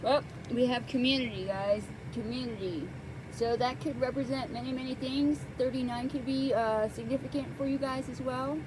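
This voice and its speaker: A woman hosting a casual tarot card reading, soft-spoken and hesitant, with many pauses and fillers, close to the microphone. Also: high-pitched, steady tone, noisy background.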